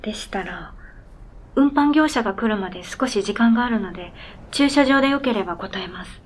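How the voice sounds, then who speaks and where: A woman's voice: medium pitch, animated, fairly quick, lightly acted. A woman playing an earnest, polite talking snowmobile in a radio drama, speaking formally and matter-of-factly. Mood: hesitant, subdued.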